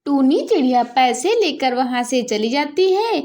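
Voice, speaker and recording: Children's cartoon narrator: woman, high voice, scripted storytelling delivery. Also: animated, a little slow, darkish tone, faint music behind.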